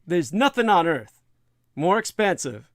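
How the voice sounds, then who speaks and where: A man hosting a livestream, giving a rambling, scornful opinion monologue in a creaky voice with many drawn-out hesitations and coarse language. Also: sits high, big pitch swings, no room sound, clean studio sound. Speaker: livestream host.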